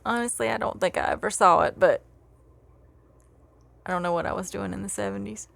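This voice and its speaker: A woman's voice: mid-range voice, a little fast, vocal fry, a bit bright. A middle-aged woman co-hosting a casual podcast, talking in a loose, giggly way. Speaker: podcast co-host.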